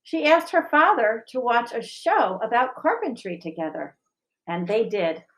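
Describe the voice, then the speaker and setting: A woman reading and chatting for a school audience in an expressive, measured storybook style. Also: a little high, poor audio. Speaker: school staff member reading aloud. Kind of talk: children's storybook read-aloud and informal discussion.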